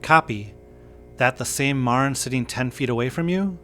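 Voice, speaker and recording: A man reading the part of a steady male patrol officer giving brief, flat radio reports in an audio story. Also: medium pitch, normal speed, a little hiss or hum, pitched above the performer's own voice, close to an everyday voice. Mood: laconic, procedural.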